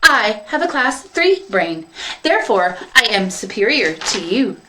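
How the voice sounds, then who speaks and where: A woman voicing a big, logical farm robot with a stiff, measured, superior robotic delivery. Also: fairly high pitch, big pitch swings, noisy background, voice pushed up. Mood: flat, officious.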